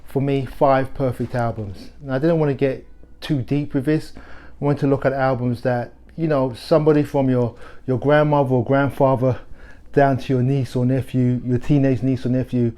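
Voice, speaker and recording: Relaxed mellow-voiced man recommending records. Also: medium pitch, normal speed. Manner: relaxed, conversational, with frequent restarts and fillers. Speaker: record shop host. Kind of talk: casual music recommendations to camera.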